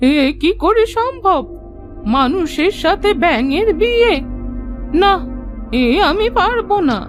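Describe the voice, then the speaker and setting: A woman's voice: extremely high pitch, lively intonation, slow, full character voice. A woman voicing a blunt old fishing grandmother in a cartoon fairy tale. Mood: refusing. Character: old fishing grandmother, blunt but honest to her word. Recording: music under the voice.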